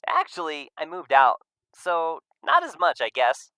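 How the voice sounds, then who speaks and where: A man performs an upbeat young male furry friend in a visual novel. The voice sits high and swings widely, clean and close, with warm, teasing sarcasm and excitable delivery. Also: unhurried, clean tone, dry recording, exaggerated voice. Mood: evasive. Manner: animated, exclamatory.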